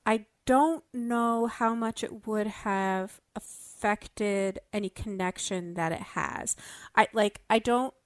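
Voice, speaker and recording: A woman's voice: medium pitch, takes it slowly, no room sound, studio quality. A woman podcast panelist explaining theories in a casual, considered way, qualifying what she claims.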